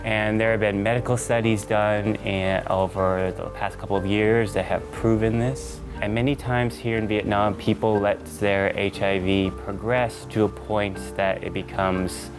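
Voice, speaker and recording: A man's voice: fairly low pitch, little pitch movement, unhurried, few pauses, over music. A man in his thirties from New City, a guest on a talk show, explaining things earnestly with frequent fillers. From New York City, USA. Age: 30s. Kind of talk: casual interview talk.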